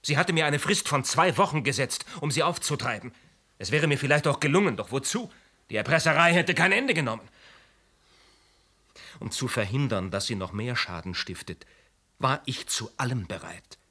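A self-righteous murderer in a radio drama. His mid-pitched voice is bright and tense. He states his case formally and deliberately, with no remorse. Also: lively intonation, husky. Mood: grave, controlled. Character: self-righteous male insurance agent coolly confessing to murder. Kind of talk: German radio play acting.

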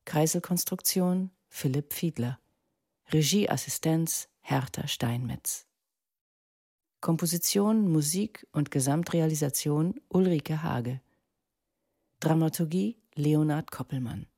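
Woman announcer, slow measured reading, soft husky tone, scripted credits, dry studio sound. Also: deep voice, no echo. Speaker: radio drama announcer.

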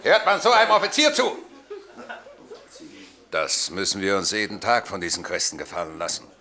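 A blustering Roman centurion in a radio drama. He barks and grumbles with scorn, his pitch leaping wildly from mutter to shout. A crowd is clearly heard behind him. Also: sing-song, moderate pace. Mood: indignant. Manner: barking orders, indignant grumbling.

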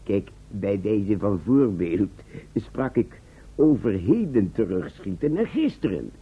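An actor in a Dutch radio play playing an eccentric, self-assured time traveller who explains patiently and checks that listeners follow. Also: male voice, lowish voice, very animated, slow pace, breathy edge, exaggerated voice. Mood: storytelling. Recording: muffled, band-limited old radio recording.

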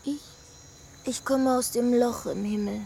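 Slow, high-voiced mad angel. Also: female voice, fairly even intonation, gravelly, warm tone, slight background noise. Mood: absent, dreamy. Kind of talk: radio drama acting.

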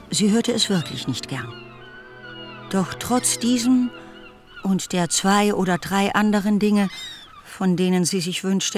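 A man narrating a classic romance novel for a radio play in a measured, articulate storytelling manner. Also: high-pitched.

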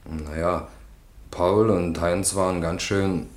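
Accused man, lowish voice, evasive, reluctant, short answers, roomy echo, radio play. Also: fairly even intonation, slow. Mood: sullen, evasive. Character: evasive, sullen male defendant on trial. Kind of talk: German radio crime drama acting.

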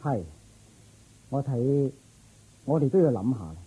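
Man playing a loyal guard, very husky voice. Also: medium pitch, expressive, takes it slowly, dark tone, full character voice, rough recording. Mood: earnest. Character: loyal, thoughtful palace guard officer. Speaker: voice actor. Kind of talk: radio drama acting.

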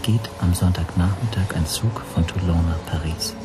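Man acting an anxious, low-voiced narrator. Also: moderate pace, over music, lightly acted. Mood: reflective. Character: anxious Hungarian language teacher narrating his ordeal. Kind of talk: radio drama first-person narration.

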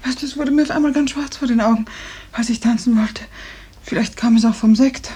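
A gravely ill woman in an old radio play. Her voice is high and very breathy, often close to a whisper. She sounds dazed, drained and apologetic. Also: steady tone, fairly quick, dark tone. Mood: drowsy, apologetic. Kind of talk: 1950s German radio drama acting. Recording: 1950s radio drama recording.

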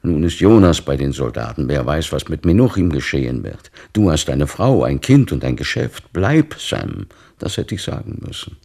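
A sorrowful, pious old man speaks in a very deep register. His voice is gravelly and husky, and he broods plaintively over his words like a worried father. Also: very animated, normal speed, a little mellow, heavily acted. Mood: bitter regret. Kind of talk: literary radio drama acting.

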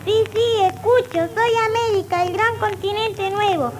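A woman's voice: very high-pitched, a little slow, background noise. A female performer playing a proud child speaking as a continent, reciting in a 1960s children's radio play.

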